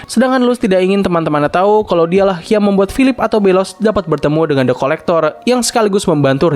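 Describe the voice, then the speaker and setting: A young adult man with an Indonesian accent narrating a recap review in an informal, conversational, explanatory way. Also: high voice. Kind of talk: casual scripted recap commentary.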